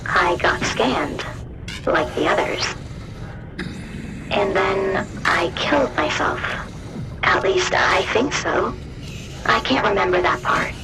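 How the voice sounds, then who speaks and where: A woman's voice: mid-range voice, expressive, slow, long pauses, low-quality recording. A woman playing an earnest, trusting technician chatting in a sci-fi video game. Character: trusting, earnest female technician, eager and relieved. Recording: music and ambience behind voice.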